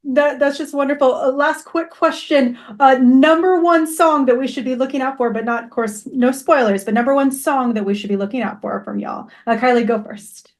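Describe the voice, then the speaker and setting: A woman's voice: high voice, moderate pace, few pauses. A woman hosting a casual interview in an informal, conversational way. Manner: informal, says y'all.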